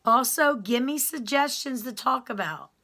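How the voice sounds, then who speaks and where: A woman's voice: sits high, expressive, moderate pace. A woman telling her prison story to viewers in a casual, rambling way, full of ums and restarts. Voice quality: loud voice that carries.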